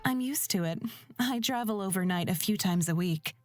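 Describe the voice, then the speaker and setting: A woman voicing a calm, reflective young female wagon driver in a fantasy visual novel, measured and thoughtful. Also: mid-range voice, soft background music, close to an everyday voice. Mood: calm, friendly.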